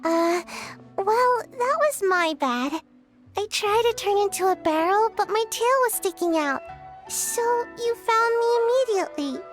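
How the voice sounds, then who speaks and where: A woman's voice: very high voice, expressive, a little slow. A woman voicing a small, sheepish shapeshifting child in an anime game, hesitant and defensive in a childlike voice. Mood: flustered. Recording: game audio with faint music.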